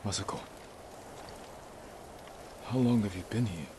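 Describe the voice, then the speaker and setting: An actor playing a calm, loyal samurai in a video game, measured, quiet and serious. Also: male voice, lowish voice, background noise, lightly acted. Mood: calm, steady. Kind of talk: restrained video game acting.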